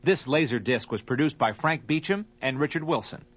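Lively, higher-pitched man narrating a documentary. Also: fairly quick, husky. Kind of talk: scripted narration. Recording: dull, muffled audio.

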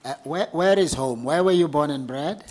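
A man chairing an interview panel, asking short, brisk questions and often answering with a plain "yes". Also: a little high, darkish tone. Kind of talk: formal questioning. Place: large formal conference room.

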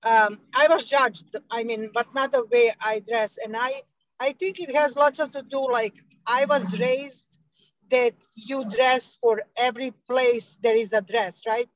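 A woman's voice: fairly high pitch, fairly even intonation, measured pace, no echo, dull audio. A woman calling in to a talk show, speaking hesitantly with many restarts. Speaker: caller. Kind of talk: casual call-in talk.

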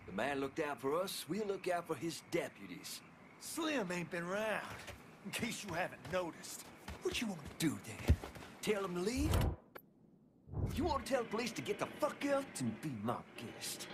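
A man's voice: high-pitched, sing-song, normal speed, over music. An actor playing a blunt, foul-mouthed male gang thug in a video game. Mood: irritated. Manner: blunt, swearing. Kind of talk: video game dialogue acting.

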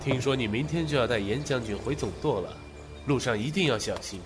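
An actor playing a grave, concerned ally in a Chinese period audio drama, earnest and measured. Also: male voice, medium pitch, music in the background, natural voice. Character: grave, concerned male ally bringing intelligence.

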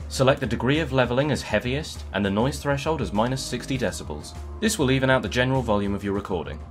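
Guy doing tutorial voiceover, mid pitch that moves around a lot, step by step, faint music behind. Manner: plain step-by-step explaining. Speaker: tutorial narrator. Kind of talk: instructional voice-over.

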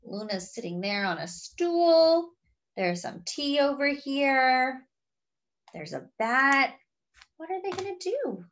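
A woman's voice: high voice, very animated, slow, fairly bright tone, lo-fi sound. A woman reading a picture book aloud expressively, with chatty, wondering asides to young listeners. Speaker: children's story reader.

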